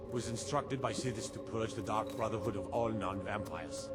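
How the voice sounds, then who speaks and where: Guy voicing a solemn vampire assassin elder in a game, lowish voice, big loud music behind. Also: lightly acted. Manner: solemn, measured pronouncements. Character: grave male elder of a secret vampire assassin order. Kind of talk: game character dialogue.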